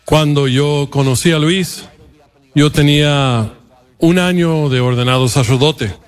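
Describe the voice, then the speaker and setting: Middle-aged American priest, fairly high voice. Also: male voice, a little slow. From United States, Midwest. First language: English. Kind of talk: personal testimony to a congregation.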